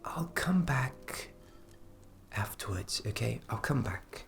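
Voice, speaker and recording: A woman playing a gentle, reassuring fairy caretaker in a fantasy ASMR roleplay, speaking in a hushed, unhurried, partly whispered way. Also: a bass voice, sing-song, vocal fry, heavily acted.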